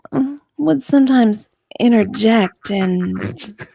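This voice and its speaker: A woman co-hosting a dramatic reading of testimony, speaking in a conversational way with measured phrasing and some casual chat. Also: on the high side, vocal fry, mellow, slightly rough, dry recording, clean studio sound. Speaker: co-host reading a witness's part from a deposition.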